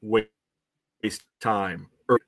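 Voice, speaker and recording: A man co-hosting a sports podcast, giving casual analysis in measured, halting phrases. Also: on the low side, fairly even intonation.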